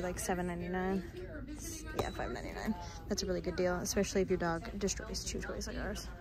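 A young American woman giving chatty, appreciative shop-with-me commentary in a casual vlog style. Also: lowish voice. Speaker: lifestyle vlogger. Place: inside a retail store. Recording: music over handheld store recording. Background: music.

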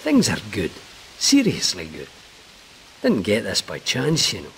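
An actor playing a persuasive spy recruiter in a video game, informal, matey and insistent. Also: male voice, on the low side, wildly swooping pitch, unhurried, a little hiss or hum, exaggerated voice, rough recording. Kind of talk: video game cutscene acting.

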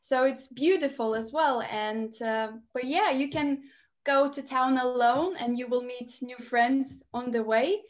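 A young woman with a Lithuanian accent and a fairly high voice. She speaks informally, as a student sharing her experience on a video call. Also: fairly even intonation, measured pace. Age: 20s. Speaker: student on a panel. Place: indoor room at home, video call.

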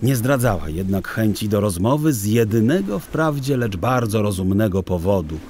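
A man narrating a children's audiobook in a theatrical, deliberate storytelling style. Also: lowish voice, expressive, a little mellow, a little hiss or hum.

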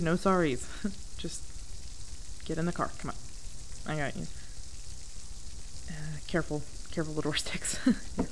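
A woman playing a protective, exasperated friend in an intimate audio roleplay, speaking in a natural, halting, emphatic way. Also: on the low side, a little hiss or hum, natural voice. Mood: reassuring, gentle. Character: protective, exasperated friend secretly in love, driving someone home.

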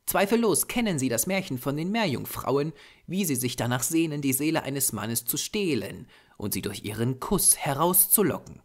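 A man voicing a grave, urgent Japanese storyteller of dark lore in an audiobook, solemn, measured and insistent. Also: medium pitch, very animated. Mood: grave, explanatory.

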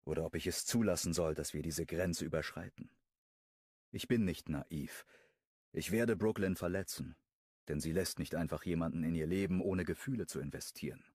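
Deep-voiced male audiobook narrator. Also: moderate pace, a little mellow, slightly rough, no echo, clean studio sound. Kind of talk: first-person audiobook narration.

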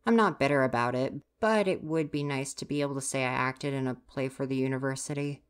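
A woman's voice: very deep voice, fairly quick, smooth. A woman playing a grumpy, easily flustered university-age stagehand in an ASMR roleplay, huffy and exasperated, talking straight to the listener. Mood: defensive, opening up. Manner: huffy, exasperated, sighing scolding.

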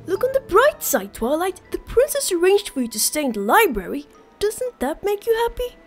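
A woman's voice: extremely high pitch, huge pitch swings, normal speed, faint music behind, exaggerated voice. A woman voicing a young boy dragon, an earnest and slightly sarcastic sidekick in a cartoon fan dub. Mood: encouraging.

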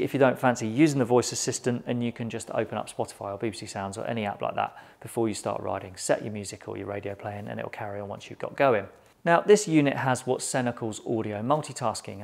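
A middle-aged British man presents a product review to camera in a measured, matter-of-fact way, recorded on a lapel mic in a small indoor studio. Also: fairly low pitch, gravelly, darkish tone.

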